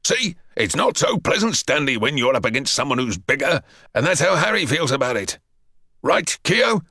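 A man voicing a brisk, indignant but protective male PE teacher in a storybook, with a hectoring manner. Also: mid-range voice, sing-song, slightly rough, no room sound, clean studio sound, full character voice. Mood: scornful scolding. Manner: loud, scolding, hectoring.

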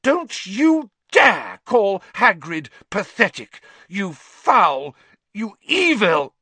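A man voicing a bossy, anxious teenage girl witch in a high, shrill, tearful voice. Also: very animated, moderate pace, pushed and bright, no echo, heavily acted. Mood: furious shrill. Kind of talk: audiobook character voice.

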